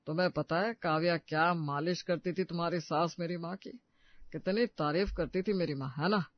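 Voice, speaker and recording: Man playing a high-voiced mischievous father-in-law. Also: fairly even intonation, normal speed, muffled recording, natural voice. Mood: sly. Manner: encouraging, playful. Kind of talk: cartoon moral story voice acting.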